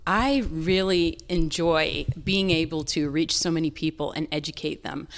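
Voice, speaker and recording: An American woman with a voice a little lower than most speaks as an interview guest. She explains things earnestly and in a conversational style, like a business owner talking about her work. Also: moderate pace. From North Carolina, southern United States.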